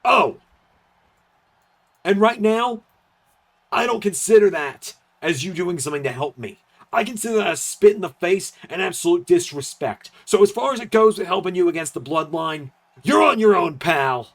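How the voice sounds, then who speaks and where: A man's voice: high voice, expressive, normal speed. A man playing an indignant, hot-tempered wrestler in a video game story, scornful and emphatic. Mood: indignant, furious.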